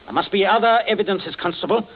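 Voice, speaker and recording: An actor playing a flustered, scheming older gentleman with genteel, blustering speech, in 1940s radio acting. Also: sits high, quick pace. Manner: genteel, blustering, nervous laughs. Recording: dull old radio recording.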